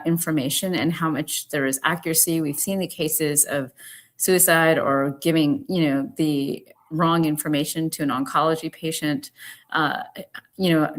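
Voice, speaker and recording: A woman's voice: sits low, fairly bright tone. A woman guest on a podcast, talking earnestly with frequent "you know" fillers. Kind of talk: conversational podcast discussion.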